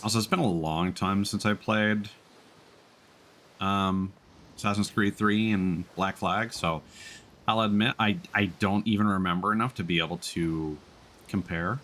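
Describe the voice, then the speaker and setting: A man's voice: on the low side, steady tone, measured pace, fairly bright tone. A man giving casual live gameplay commentary, musing and thinking aloud in a hesitant way. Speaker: streamer playing a video game. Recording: stream with game noise behind. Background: video game battle sounds.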